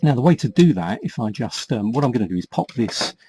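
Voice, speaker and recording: A man's voice: mid-range voice, very animated, brisk, warm tone, a little hiss or hum. A man in his forties giving a casual hands-on tutorial, explaining things step by step in a hesitant, conversational way. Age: 40s. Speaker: vintage toy repairer and presenter.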